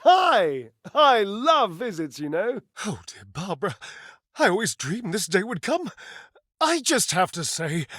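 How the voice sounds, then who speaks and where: A man playing a flamboyant, flirtatious, theatrical living appliance in a dating game, gushing with pet names and drawn-out words. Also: high voice, fairly bright tone, no room sound, studio quality, exaggerated voice. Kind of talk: dating-game character voice acting.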